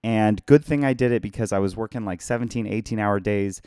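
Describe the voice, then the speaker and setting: A hoarse, tired man hosting a casual live-streamed podcast from his phone, rambling and chatty as he thanks viewers by name. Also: fairly low pitch, fairly quick, dark tone, no room sound, clean studio sound. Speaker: podcast host.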